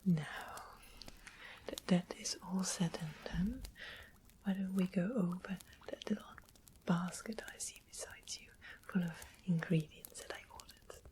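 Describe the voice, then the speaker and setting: A woman's voice: lowish voice, steady tone, unhurried, close to an everyday voice. Woman playing a whispering, sweetly sly royal witch. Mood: coaxing, bargaining. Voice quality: soft breathy whisper. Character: sweetly sly royal witch trading rare magical items. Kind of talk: ASMR fantasy roleplay. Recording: clearly noisy close whispered recording.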